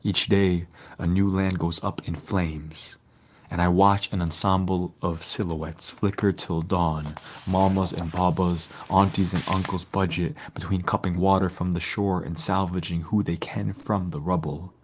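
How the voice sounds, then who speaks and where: A man with a low, husky voice reads spoken-word poetry. His delivery is solemn and measured, with a lyrical flow. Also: flat delivery. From Detroit, United States. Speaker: poet.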